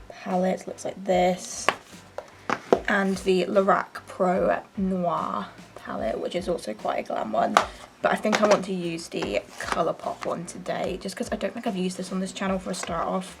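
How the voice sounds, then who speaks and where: A young woman with a croaky voice, chatting casually through her makeup routine in her bedroom, rambling and self-deprecating. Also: on the low side, very noisy. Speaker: beauty vlogger. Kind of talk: casual get-ready-with-me chat.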